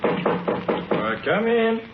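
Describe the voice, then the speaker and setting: An actor playing a brisk, genial army general in a 1940s radio drama. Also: male voice, very high voice, sing-song, over loud music, heavily acted. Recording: muffled old radio recording, faint noise.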